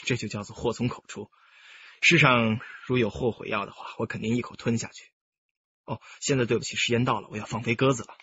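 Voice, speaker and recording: Young male teacher, radio drama, mid-pitched, husky, calm, candid, explanatory. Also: dull audio. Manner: polite, measured, explanatory. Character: composed, candid young male music teacher and pigeon keeper.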